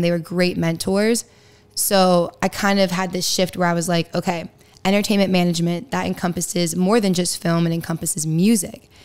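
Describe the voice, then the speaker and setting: A woman in her twenties with a raspy voice, chatting as a podcast guest, with lots of likes and laughs. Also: lowish voice, darkish tone. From Pittsburgh area, US. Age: 20s. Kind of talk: casual interview chat.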